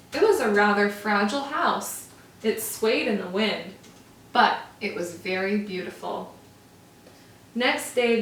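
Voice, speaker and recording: Woman teacher, storybook read-aloud, fairly high voice, distant from mic, faint room hum. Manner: slow, expressive, drawn-out emphasis. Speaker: first-grade teacher. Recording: roomy echo, from far off.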